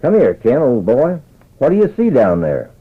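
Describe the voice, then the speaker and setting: A man's voice: medium pitch, wildly swooping pitch, breathy edge. Man acting a grave old trail hand, tinny radio. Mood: firm. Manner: folksy, dead serious, slow drawn-out words. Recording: tinny band-limited old radio recording.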